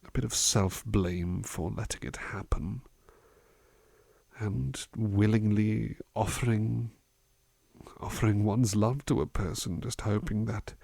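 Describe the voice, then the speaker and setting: A man playing a gentle, reflective butler in a soft-spoken ASMR roleplay, musing slowly and thoughtfully, at times dropping into a near-whisper. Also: on the low side, creaky, breathy edge, no room sound, full character voice. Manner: hesitant, thoughtful, musing, unhurried. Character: gentle, reflective male butler reading poetry to his charge.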